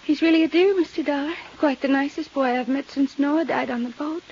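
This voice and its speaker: An actress playing a fragile, unhinged wealthy widow in a 1950s radio drama, rambling in halting, repetitive phrases. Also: female voice, high-pitched, a little mellow. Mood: dazed, wistful. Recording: muffled, hissy 1950s radio recording.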